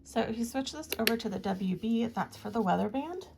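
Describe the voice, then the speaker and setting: A woman's voice: fairly high pitch, expressive, brisk. A woman giving a casual, plain-spoken product review, explaining features as she shows them. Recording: clear background noise, handling clicks.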